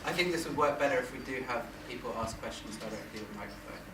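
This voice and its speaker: Male moderator, halting and dry, far from the mic in a noisy, echoing hall. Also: mid-range voice, expressive. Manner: hesitant, filler-heavy, dry asides. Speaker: panel moderator. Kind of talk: panel discussion.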